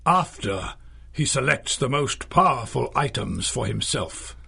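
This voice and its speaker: An actor playing an urbane old retired magician and crime fighter in a radio drama, with a theatrical, formal, dryly witty delivery. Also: male voice, mid-range voice, lively intonation, unhurried, gravelly, bright, forceful tone, breathy edge, full character voice. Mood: measured, reflective. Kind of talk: 1930s-style radio drama acting.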